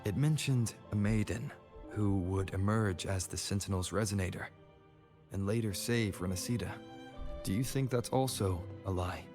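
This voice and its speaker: A man playing a calm, earnest young adventurer in a video game, thoughtful and measured. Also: lowish voice, close to an everyday voice. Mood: calm, inquiring. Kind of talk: scripted video game dialogue. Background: music.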